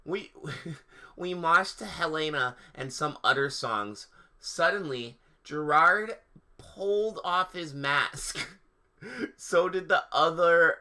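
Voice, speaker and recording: Male streamer doing mock-dramatic readings: slightly high, sharp-edged voice, emphatic delivery, offhand asides. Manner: theatrical, mocking delivery that breaks into laughter. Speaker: livestream host.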